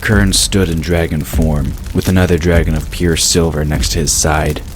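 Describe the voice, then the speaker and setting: A man's voice: a bass voice, fairly even intonation, moderate pace, noisy background. A man reading scripted fantasy story narration as the narrator of an audio drama.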